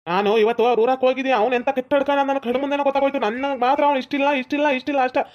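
A performer plays a stubborn village father who scolds and insists, talking very fast. The recording is dull and lo-fi. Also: sits high, steady tone, lightly acted. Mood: insistent.

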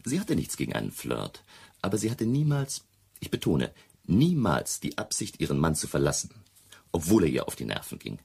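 Very low male voice, swooping intonation, indignant gentleman, defensive, radio drama. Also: wildly swooping pitch, moderate pace, heavily acted. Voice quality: deep, gravelly. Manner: emphatic, defensive. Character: touchy, indignant gentleman friend of a missing singer. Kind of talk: German radio play acting.

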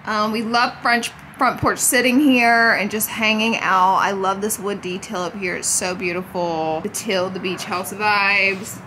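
A woman's voice: medium pitch, steady tone, unhurried, few pauses, bright, forceful tone, a little hiss or hum, rough recording. A woman in her thirties, sick with a cold, chatting playfully and gushing in a casual house tour vlog on a handheld camera. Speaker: vlogger. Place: inside and on the porch of a house. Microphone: handheld camera at arm's length.

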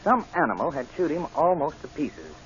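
An actor playing a smug, sinister gentleman murderer in an old radio drama, falsely genial and taunting, with stammered starts. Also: fairly high pitch, big pitch swings, normal speed. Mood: smugly genial. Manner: taunting, mock-polite, hesitant stammering starts. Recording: dull, muffled old radio broadcast.

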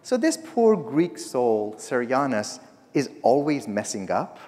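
Lively, unhurried storytelling preacher. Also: male voice, mid-range voice. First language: not English. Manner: storytelling, humorous, expressive. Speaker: guest preacher. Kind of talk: sermon. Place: church sanctuary, at the pulpit. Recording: long church reverb.

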